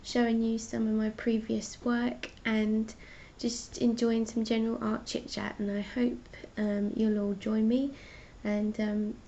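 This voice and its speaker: A congested woman with a mellow, flat-toned voice talks casually through her artwork on a live stream, explaining each piece in a chatty way. The sound is dull and lo-fi, with a faint rumble behind her. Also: unhurried. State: congested with a cold. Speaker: artist hosting her own live stream. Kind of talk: casual art chat, showing paintings.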